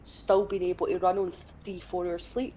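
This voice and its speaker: A woman's voice: on the low side, flat delivery, moderate pace, mellow. Young Scottish woman, noisy stream audio. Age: 20s. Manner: blunt, opinionated, sweary. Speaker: podcast and stream co-host. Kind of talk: casual chat during a gaming stream.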